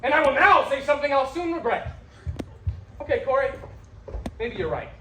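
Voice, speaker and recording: A woman's voice: mid-range voice, animated, a little fast, recorded from a distance, natural voice. Sarcastic young lawyer husband, played by a woman: practical, dry, exasperated stage delivery; echoey, slightly noisy room. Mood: exasperated, cutting. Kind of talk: stage comedy-drama acting. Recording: echoey room with background noise.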